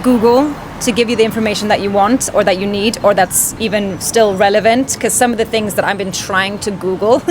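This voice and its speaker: A woman's voice: medium pitch, fairly even intonation, brisk, hardly any pauses. A woman answering street interview questions in a chatty, easygoing way. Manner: chatty, laughs often. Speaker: traveling video creator. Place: city street outdoors. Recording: city street noise behind.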